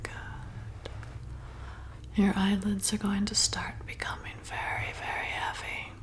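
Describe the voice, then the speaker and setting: A woman playing a flirty, slyly predatory vampire bartender in an intimate, coaxing, breathy whispered ASMR roleplay. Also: mid-range voice, monotone, natural voice. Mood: hypnotic, soothing. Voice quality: breathy whisper with creaky edges. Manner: slow, soothing, intimate, coaxing.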